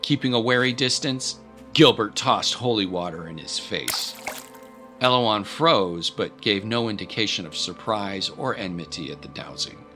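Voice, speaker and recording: Low-voiced man narrating a grave drama. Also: animated, a little slow, pushed and bright. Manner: grave, literary storytelling. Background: music bed.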